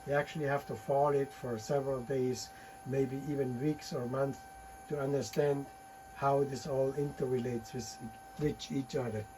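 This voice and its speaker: Guy giving a tech talk, mid pitch, stumbly, keeps restarting words. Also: steady tone, background noise. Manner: halting, frequent uh and restarts. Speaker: presenter at a technical conference. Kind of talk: technical presentation, reading from slides.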